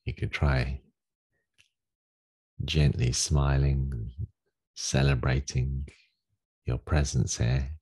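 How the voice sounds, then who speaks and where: A man leading a guided meditation as a teacher, giving gentle, unhurried instructions with long pauses in a gravelly, husky voice. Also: a bass voice, little pitch movement. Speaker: meditation teacher leading a daily group session.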